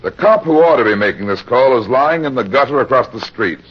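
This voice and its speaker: An actor playing a tough, matter-of-fact American private detective, with clipped old-time radio noir delivery. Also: male voice, medium pitch, lively intonation, a little fast, breathy edge, highs cut off. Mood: terse, urgent. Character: hard-boiled, unsentimental male private detective in pulp radio drama.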